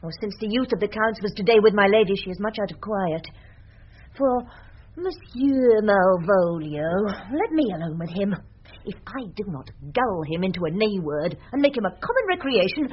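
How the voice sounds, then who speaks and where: An actress plays a mischievous British serving woman with a wide, swooping delivery. She is sly and witty, in classic radio Shakespeare style. The old recording is dull, with clear background noise. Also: mid-range voice, very animated, moderate pace, close to an everyday voice. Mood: conspiratorial.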